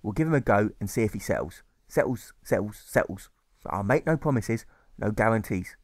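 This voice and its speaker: A man's voice: on the low side, animated, a little fast, dry recording, clean studio sound. Man playing a grudging, repetitive older matron. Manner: repeats words, grudging. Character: grey-haired stern matron, grudging woman.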